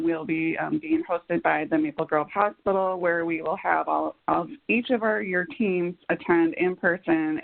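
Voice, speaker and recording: A woman presenting slides on a conference call, speaking in halting, piecemeal phrases. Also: lowish voice, vocal fry. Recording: dull, lo-fi conference call audio.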